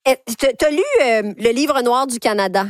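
A woman interviewer asking questions, with quick acknowledgements as she listens. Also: fairly high pitch, dry recording, studio quality.

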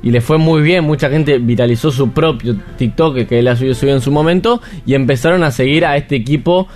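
A man's voice: medium pitch, a little fast, a little mellow. A man with an Argentine accent explaining a story in casual radio chat. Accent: Argentine Spanish. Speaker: radio segment reporter.

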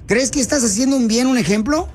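Man, radio host, very high voice, mocking, confrontational. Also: fast, darkish tone. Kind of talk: heated call-in radio talk.

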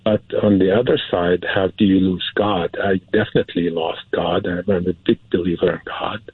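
Male guest, husky voice, dry phone-like narrow sound. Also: low voice, fairly even intonation, normal speed, dry recording. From Syria. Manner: hesitant, repeats words for emphasis. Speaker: interview guest, film producer. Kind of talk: casual interview talk.

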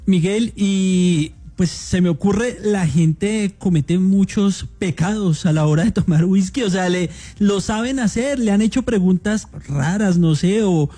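High-pitched, mellow man interviewer. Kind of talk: casual, loosely phrased questions.

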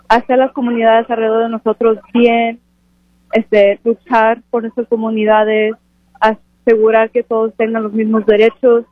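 A woman of twenty-two giving an earnest, halting, unscripted interview answer. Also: fairly high pitch, no room sound. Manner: earnest, halting with self-corrections. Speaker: interviewed graduate student and scholarship recipient. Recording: phone-like radio broadcast sound.

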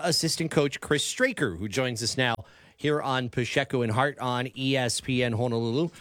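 A man hosting a sports radio show, asking questions with many fillers and halting restarts. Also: medium pitch, no echo. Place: radio studio.

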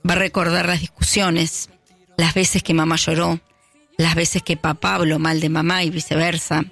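A man with an Argentine accent delivering a reflective radio monologue with measured, emphatic pauses. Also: fairly high pitch, level tone, creaky, fairly bright tone. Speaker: radio host. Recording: radio broadcast with music beneath.

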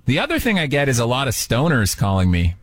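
A man's voice: medium pitch, huge pitch swings, fast talker. A man hosting a call-in radio show, trading dry, self-mocking jokes with a caller. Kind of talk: joking call-in radio banter.

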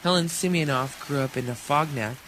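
Measured male documentary narrator. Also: mid-range voice, slight background noise. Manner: measured, even delivery.

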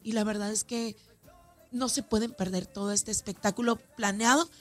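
A woman's voice: medium pitch, steady tone, moderate pace, faint music behind. A woman with a northern Mexican accent speaking as an event organizer in a conversational interview, talkative and emphatic, repeating phrases for stress. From Ciudad Juárez, Mexico. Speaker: festival organizer being interviewed. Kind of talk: conversational radio or media interview.

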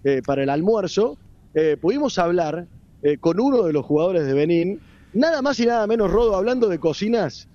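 A man's voice: sits high, very animated, a little fast, mellow, no echo, lo-fi sound. An Argentine man reporting live from the street, hesitant with many fillers. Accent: Argentine Spanish. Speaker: field reporter.

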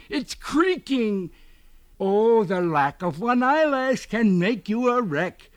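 A man voicing a small, sly, quick-witted rabbit in a children's storybook, with a theatrical, persuasive delivery. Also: sits high, no echo, pitched above the performer's own voice, heavily acted. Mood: mock alarm, ominous. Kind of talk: children's storybook character reading.

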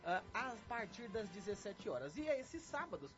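A Brazilian man with a high voice hosts a chatty radio programme. He talks straight to his listeners in a lively way. The sound is slightly muffled, with music playing clearly behind him. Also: normal speed. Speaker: radio host. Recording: muffled band-limited sound over loud music.

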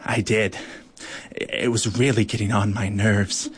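A man's voice: on the low side, steady tone, a little slow, husky, no echo, pitched below the performer's own voice. A man voicing a grumpy, impatient male tiger beetle in a storybook tale.

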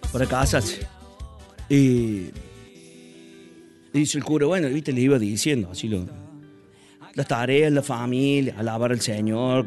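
A man's voice: medium pitch, darkish tone. Argentine man telling a chatty joke. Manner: chatty storyteller, acts out the characters' lines. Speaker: joke teller.

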